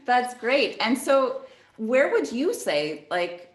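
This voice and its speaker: A woman's voice: sits high, wildly swooping pitch, extremely slow, reverberant. A woman hosting a casual video-call interview, asking friendly, encouraging questions. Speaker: host, pianist.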